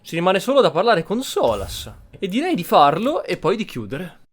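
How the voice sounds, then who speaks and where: High-voiced man commenting on a game. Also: huge pitch swings, brisk, a little hiss or hum. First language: Italian. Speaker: gaming channel player. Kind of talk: casual gameplay commentary. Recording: game music behind the voice.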